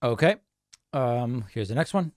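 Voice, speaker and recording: A man's voice: medium pitch, expressive, unhurried, darkish tone, no room sound, studio quality. A man hosting a live show, talking casually and matter-of-factly with a dismissive streak. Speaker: show host. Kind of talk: casual live commentary on voicemails.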